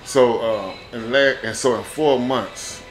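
A man doing a casual street interview, recapping and questioning in a halting, repetitive way. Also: medium pitch, rough recording.

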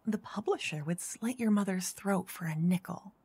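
A woman doing a soft-spoken ASMR roleplay as a flirty dungeon master. She teases, then whispers close into each ear in turn. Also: mid-range voice, moderate pace, husky. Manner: teasing, flirty, switching between whispers and soft speech. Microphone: close binaural mic.

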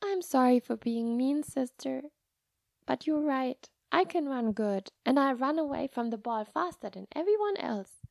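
Woman voicing a high-pitched, sulky little girl. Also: animated, measured pace, creaky, no room sound, studio quality, exaggerated voice. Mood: apologetic. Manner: petulant, childlike phrasing. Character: sulky, pouting little girl, easily upset, earnest.

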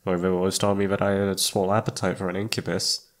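A man's voice: deep voice, little pitch movement, fast talker, close to an everyday voice. A man playing a shy, flustered young incubus roommate in an ASMR roleplay, hesitant and awkward, often trailing off. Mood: weary, pensive. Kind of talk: ASMR roleplay audio drama.

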